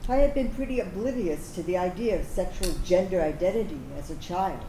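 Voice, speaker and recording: A woman's voice: on the low side, brisk, dark tone, lightly acted. Reflective woman as a veteran, echoey noisy room. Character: reflective military veteran raised as an Army brat. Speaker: actor reading a personal narrative. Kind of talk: staged reading of a personal monologue. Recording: echoey room with clear background noise.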